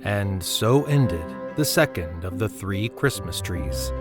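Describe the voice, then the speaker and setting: A man narrating a literary story for an audio drama in a measured storytelling manner. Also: low-pitched, expressive, loud music behind the voice.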